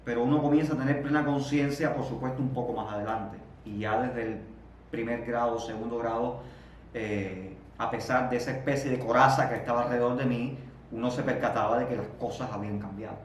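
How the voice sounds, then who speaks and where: A Cuban man in his thirties is heard from some distance in a very echoey room, with faint noise in the background. His pitch sits in the middle for a man. He speaks in a halting, reflective way as an interview guest, often repeating words before moving on. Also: steady tone. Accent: Cuban Spanish. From Cuba. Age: 30s.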